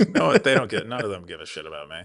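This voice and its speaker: A man's voice: fairly low pitch, sing-song, fast, vocal fry, fairly bright tone, no room sound, studio quality. A man co-hosting a casual podcast, explaining technical things loosely and peppering his talk with "like". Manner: explains tech terms casually, heavy use of "like". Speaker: podcast co-host. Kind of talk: casual chat. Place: room by a window.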